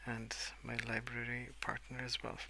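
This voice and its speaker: A young adult man softly rambling in a breathy half-whisper for ASMR, relaxed and unhurried, close to the microphone. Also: medium pitch, very noisy. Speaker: ASMR video creator. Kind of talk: soft-spoken ASMR rambling while colouring.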